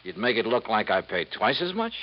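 An actor playing a tough, righteous insurance investigator in a 1950s radio drama, blunt, wry and punchy. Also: male voice, fairly low pitch, huge pitch swings, a little fast. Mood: sly, casual. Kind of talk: 1950s radio detective acting. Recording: muffled 1950s radio recording.

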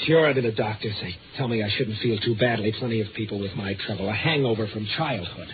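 An actor playing a desperate, panic-stricken man afraid of the dark, with halting, anguished delivery in a 1950s radio drama. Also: fairly low pitch, lightly acted. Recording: noisy, phone-like old radio recording.

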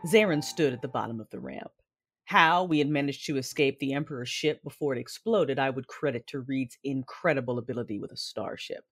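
A woman playing a grave, reserved warrior, narrating an audio drama in measured, formal, literary language. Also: extremely low pitch, slightly rough. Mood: solemn, restrained.

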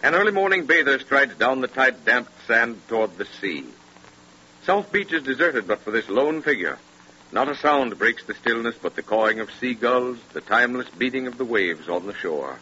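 Male grave true-crime narrator, deliberate 1930s radio drama delivery, very forceful, muffled old broadcast sound. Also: mid-range voice, lively intonation, a little hiss or hum. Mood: suspenseful. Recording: dull, lo-fi 1930s radio recording.

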